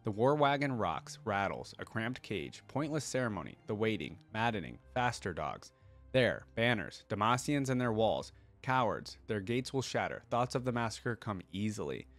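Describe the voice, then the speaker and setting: Brutal undead warrior read by a man, low voice, weary and bloodthirsty, terse storybook monologue over faint music. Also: very animated, normal speed, a bit bright. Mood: bloodthirsty, impatient. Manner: terse, grim declarations. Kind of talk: dramatic first-person story reading.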